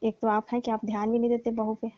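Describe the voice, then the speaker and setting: A woman's voice: a little high, completely flat delivery, fast, dry recording, lightly acted. A woman playing a coy, complaining young daughter-in-law in a staged comic phone call. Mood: reproachful. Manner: coy, hinting, complaining, teasing. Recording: muffled, lo-fi, dry call recording.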